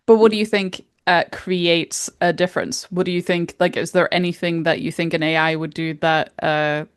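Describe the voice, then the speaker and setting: Woman cohost keeps pushing questions, lots of "like", a bit low voice, dry close mic. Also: fairly even intonation, normal speed, clean tone, no room sound. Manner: probing, keeps pressing questions. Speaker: podcast co-host. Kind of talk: casual chat.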